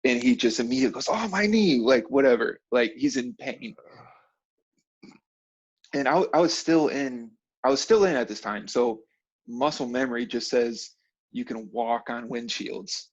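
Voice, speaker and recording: Man, casual storyteller, slangy and profane, quick to laugh, medium pitch, dull lo-fi call audio. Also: lively intonation. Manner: slangy, profane, laughs easily while telling stories. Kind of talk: casual chat, animated storytelling.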